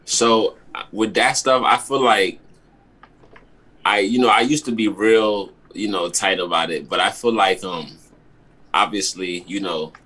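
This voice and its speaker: A man's voice: lowish voice, fairly even intonation, a little slow, sharp tone, husky, rough recording. A young man from Maryland, guest artist on a video call, talking casually and loosely with lots of fillers and slang. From Maryland, with early childhood in Ghana.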